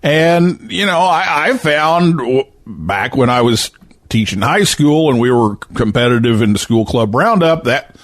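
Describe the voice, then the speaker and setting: A man's voice: medium pitch, expressive, a little slow, dry recording, clean studio sound. A man hosting a show in a casual, joking, chatty style. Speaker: podcast host. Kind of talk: casual chat.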